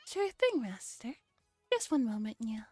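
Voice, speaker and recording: A woman's voice: fairly high pitch, big pitch swings, a little slow, mellow, soft background music. A woman voicing a playful young catgirl maid from a visual novel, cutesy and theatrical, with little nya tics. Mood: sweet, obliging. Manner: cutesy, dramatic, ends phrases with nya.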